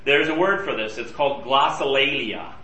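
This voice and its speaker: A man's voice: mid-range voice, normal speed, pushed and bright. A man teaching a Bible study class in a conversational way, asking his group questions. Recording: roomy and distant from the mic.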